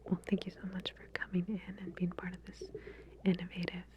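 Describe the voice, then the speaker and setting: A woman whispering softly and breathily in an ASMR roleplay, speaking to the listener as a calm, reassuring, professional researcher. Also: fairly low pitch, a little fast, noisy background. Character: calm, attentive female scientist running a medical experiment.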